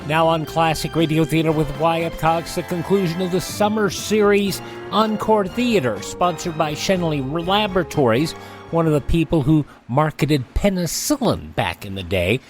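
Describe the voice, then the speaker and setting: Male host, measured announcer read, somewhat high voice. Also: over music. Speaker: radio host. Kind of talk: scripted announcing.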